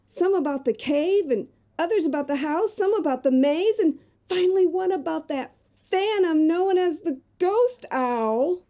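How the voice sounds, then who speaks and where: Woman acting a dark-toned, anxious lady reporter. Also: very high-pitched, animated, measured pace. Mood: haunted, fearful. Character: earnest, fearful young female magazine reporter. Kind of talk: amateur audio drama acting.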